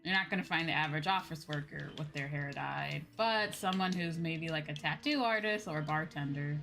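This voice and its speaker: A woman with a slightly low voice chats casually on a game stream. She muses aloud in drawn-out, sing-song asides. Game music plays clearly behind her. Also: a bit bright. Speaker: livestreamer.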